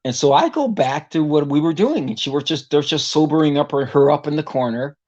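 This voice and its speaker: A man's voice: a little high, expressive, a little fast, darkish tone, highs cut off. A middle-aged man from Puerto Rico with a tenor voice, a guest vocalist telling stories animatedly in a casual interview and acting out other people's voices.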